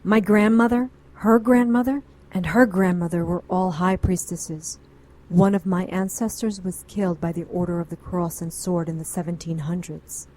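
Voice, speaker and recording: A woman's voice: lowish voice, steady tone, moderate pace, mellow, close to an everyday voice, poor audio. A woman playing a composed, defensive witch who frankly narrates her past in an audio drama. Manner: frank, self-justifying.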